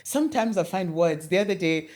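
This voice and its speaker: A middle-aged woman from Kenya, a guest in a conversational interview, telling animated stories with many restarts. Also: on the low side, fairly quick.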